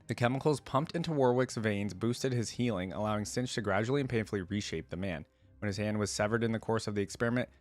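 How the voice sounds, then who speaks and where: A man's voice: fairly low pitch, no room sound. A man hosting a reaction video, talking casually and reading aloud in a conversational way, as if thinking aloud.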